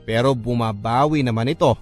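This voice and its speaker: A man's voice: medium pitch, fairly quick. A man with a Filipino accent narrating a love story in a dramatic, scripted first-person radio storytelling style. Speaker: radio storyteller reading a listener's letter. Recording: music bed under the voice. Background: background music.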